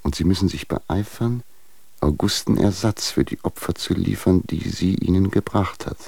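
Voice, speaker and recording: An actor playing a stern, formal patriarch, delivering measured, reproachful letters in a German radio drama. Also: male voice, deep voice, creaky, darkish tone, breathy edge, no room sound, heavily acted. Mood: stern admonishing. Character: stern, formal patriarch admonishing a younger husband.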